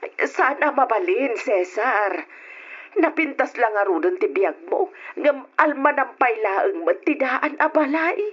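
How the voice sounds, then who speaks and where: A woman's voice: fairly high pitch, expressive, unhurried, husky. A woman playing a patient, worried middle-aged mother in an old Ilocano radio drama, recorded with a muffled sound. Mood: worried, upset. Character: patient, gentle, worried mother of grown children.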